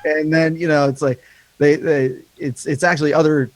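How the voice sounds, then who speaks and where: A man with a higher-pitched voice and a slight lisp, talking candidly and rambling in a casual podcast chat, swearing freely. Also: little pitch movement, moderate pace, no room sound.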